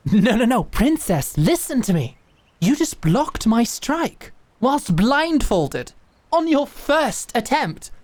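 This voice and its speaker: A woman acting in an audio drama as a calm, commanding knight who mentors with measured, deliberate instruction and encouragement. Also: a little high, no room sound, full character voice. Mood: excited, amazed. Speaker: voice actor.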